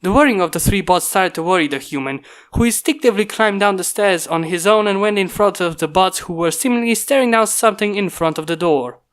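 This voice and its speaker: Medium-pitched fan fiction story narrator. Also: a little fast, hardly any pauses, a bit bright.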